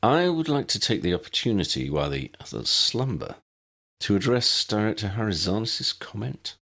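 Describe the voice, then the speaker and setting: A man's voice: fairly low pitch, very animated, moderate pace, vocal fry, a bit bright, heavily acted. A man voicing an articulate, wry advisor in an audiobook, speaking in a wordy, formal manner. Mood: measured, formal.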